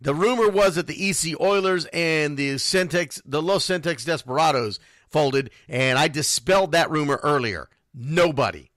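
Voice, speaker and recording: A man's voice: a little high, animated, normal speed, a bit bright, no echo, studio quality. A man hosting a casual radio show, talking informally and animatedly. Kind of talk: casual live sports radio talk with read sponsor spots.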